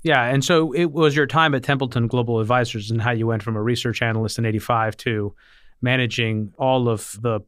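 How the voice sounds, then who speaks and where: A man's voice: mid-range voice, steady tone, fairly quick, a bit bright, clean tone, dry recording, studio quality. A man hosting an interview podcast, asking curious questions and thinking aloud as he stacks several of them together. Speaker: podcast host.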